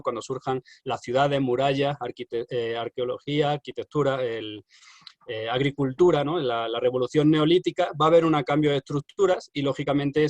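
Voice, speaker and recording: Man lecturing online, halting with fillers, dry close sound. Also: medium pitch, no room sound. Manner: hesitant, many fillers and restarts. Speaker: university lecturer.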